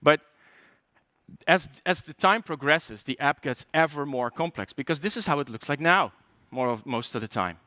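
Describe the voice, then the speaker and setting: A man's voice: a little high, lively intonation, moderate pace, sharp tone, no echo. A man from Poland with a light Polish accent, giving a conference tech talk in a chatty way, with joking asides, fillers and restarts. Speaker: conference speaker.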